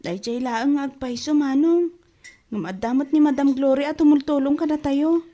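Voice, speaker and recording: A woman acts as a teenage younger sister in an Ilocano radio drama. Her voice is high and girlish, with a sweet, encouraging manner. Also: moderate pace, warm tone, natural voice. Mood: reassuring.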